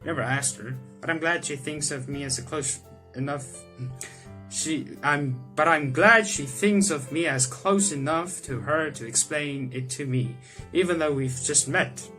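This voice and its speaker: A young man hosting a gaming video, reading the game's text aloud and chatting about it with restarts and quick laughs. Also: fairly high pitch, pushed and bright, faint music behind. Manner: chatty, self-correcting, breaks into laughs and reactions. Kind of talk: let's-play reading game text aloud with comments.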